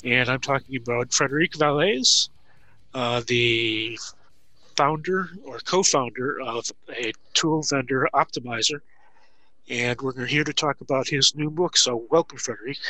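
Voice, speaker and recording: A man hosting a podcast interview, friendly and halting, with frequent filler words. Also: mid-range voice, sharp tone, dry recording, poor audio. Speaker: podcast host. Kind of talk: casual interview chat.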